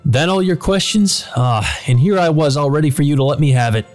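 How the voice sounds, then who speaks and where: A man's voice: fairly high pitch, expressive, brisk, soft background music, pitched above the performer's own voice, lightly acted. A man voicing a flippant, mocking servant spirit in a visual novel, taunting and carefree. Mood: teasing. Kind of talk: visual novel dialogue read aloud.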